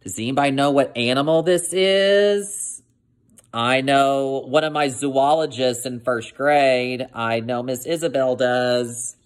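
A woman reading a children's picture book aloud like a teacher, expressive and addressing her young listeners. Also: extremely low pitch, slow pace. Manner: expressive, asks listeners questions.

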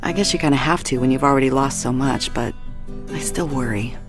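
A woman's voice: rumbling low, brisk. An actress playing a worried, caring young woman in a video game, subdued and reflective. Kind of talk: dramatic video game acting. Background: plucked guitar music.

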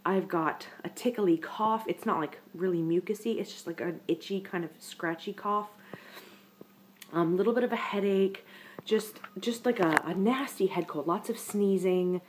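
A woman's voice: mid-range voice, moderate pace, slight background noise. A young woman with a congested head-cold voice, chatting to her webcam in a casual vlog update from her living room couch. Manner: chatty, rambling, talks straight to viewers. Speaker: vlogger. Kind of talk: casual pregnancy update to camera.

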